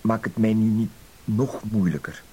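An actor playing a timid, dutiful husband with wounded dignity, hesitant, wordy and apologetic, in a radio play. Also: male voice, fairly low pitch, normal speed, dark tone, slightly rough, low-quality recording. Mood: pained, pleading. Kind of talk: Dutch-language radio play acting. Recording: hissy old radio play recording.

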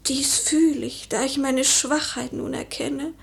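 Radio verse drama: impulsive, melancholy lady of the house. High register, unhurried, pensive and theatrical phrasing. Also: fairly even intonation, gravelly. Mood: anguished, brooding. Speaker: radio drama actress in a leading part. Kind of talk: mid-century German verse radio play acting.

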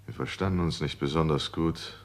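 An actor playing a courteous, formal gentleman visitor in a 1960s German crime radio play, with a gravelly voice. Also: a bass voice, moderate pace. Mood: polite, controlled. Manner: polite, smooth-spoken, formal. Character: courteous visitor, the dead householder's brother.

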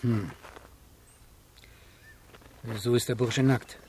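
Slow, mellow, laconic policeman. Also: medium pitch, steady tone, husky. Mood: puzzled. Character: laconic, puzzled male policeman. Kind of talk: radio play acting.

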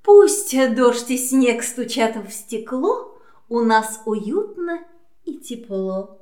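Genteel feline hostess, high, slow, cooing, roomy storybook sound. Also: female voice, big pitch swings, dark tone. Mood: gracious. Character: elegant, gracious female cat, lady of the house. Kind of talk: children's audio play acting.

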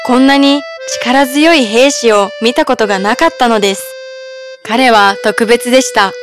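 A woman narrates a scripted fairy tale for children. Her voice is on the high side. Music plays clearly behind her. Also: normal speed.

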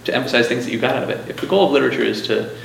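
Gravelly, quick-talking male teacher host. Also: mid-range voice, reverberant, slight background noise. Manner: chatty, self-deprecating, laughs at his own jokes. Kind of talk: casual educational podcast chat.

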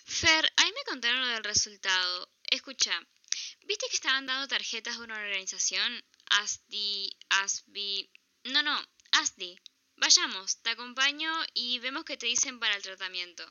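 A woman's voice: a little high, lively intonation, moderate pace, bright, forceful tone, dry recording, dull audio. A young woman with an Argentine accent playing a caring, chatty university friend in a student radio drama. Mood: concerned.

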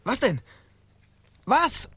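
Naive, plaintive young hero. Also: male voice, extremely high pitch, big pitch swings, very slow, sharp tone, breathy edge, no room sound, heavily acted. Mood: worried, helpless. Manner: plaintive, questioning. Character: naive, indecisive young male hero of a fairy tale. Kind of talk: children's radio drama acting.

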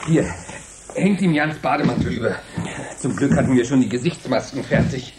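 A man playing a decisive, scheming group leader in a radio drama, brisk and practical. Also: mid-range voice, background noise, close to an everyday voice.

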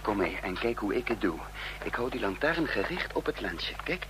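An actor playing a resourceful fugitive leader in a Dutch radio drama, explaining and commanding patiently. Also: male voice, on the low side, very animated, normal speed. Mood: instructive, urgent. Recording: noisy old radio drama recording.

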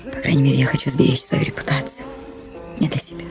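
A woman's voice: rumbling low, level tone, quick pace, vocal fry. An actress playing an anguished, devoted young noblewoman in a Russian radio drama, with an intimate, pleading delivery. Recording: music behind the voice.

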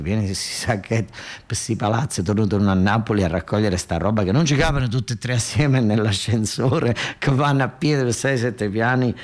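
A man with a southern Italian accent telling a story as a colloquial theatrical monologue, emphatic and repetitive, often putting questions to his listeners. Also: mid-range voice. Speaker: solo stage storyteller and actor.

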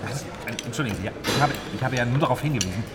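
An actor playing an earnest, polite expert who explains slightly hesitantly, in a documentary-style radio play. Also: male voice, mid-range voice, rapid-fire, noisy background, close to an everyday voice. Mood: earnest, explanatory. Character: earnest, polite male expert advocating a frugal diet. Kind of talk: radio play acted as a canteen discussion. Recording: loud canteen clatter of dishes and cutlery.

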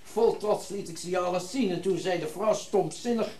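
An actor playing a wealthy, self-important businessman and collector in a Dutch radio drama, brisk and commanding. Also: high voice, a little mellow, slight background noise, close to an everyday voice. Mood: proud.

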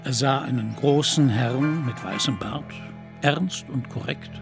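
A man's voice: medium pitch, unhurried, over music. A man giving scripted literary narration in a radio play.

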